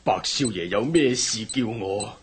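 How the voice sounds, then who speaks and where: A man's voice: fairly low pitch, measured pace, a little hiss or hum, natural voice. A man playing a stammering frightened servant. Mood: fearful. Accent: Hong Kong Cantonese. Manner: stammering, timid. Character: frightened male servant caught in a scheme. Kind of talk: radio drama acting.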